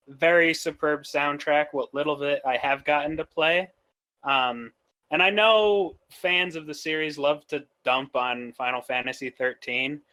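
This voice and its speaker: Bright-voiced man giving casual opinions. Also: on the high side. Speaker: podcast panelist. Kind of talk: casual chat, opinionated commentary.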